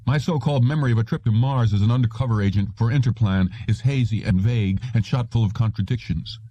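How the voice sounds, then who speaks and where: A man's voice: medium pitch, fast, mellow, no room sound, lo-fi sound, voice pushed up, natural voice. A man voicing an indignant, confused male clerk with an agitated, sardonic manner in an audiobook. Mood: angry, sardonic.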